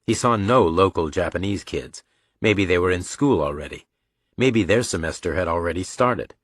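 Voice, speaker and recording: Low-voiced male audiobook narrator, close and dry. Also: moderate pace, no echo. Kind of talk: scripted dramatic narration of a thriller.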